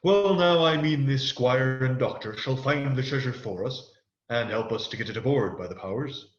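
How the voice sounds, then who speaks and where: A man's voice: mid-range voice, normal speed, lightly acted. A man playing a wily, swaggering pirate ship's cook in a radio play, talking in boastful, salty pirate dialect. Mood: scheming, impatient. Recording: echoey room, dull muffled sound.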